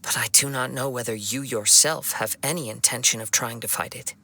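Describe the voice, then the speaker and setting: Composed wandering swordsman, crisp and bright. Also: mid-range voice, steady tone, heavily acted. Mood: grave, regretful. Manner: measured, formal, solemn. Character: calm young male wandering swordsman, composed and compassionate. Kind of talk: video game voice acting.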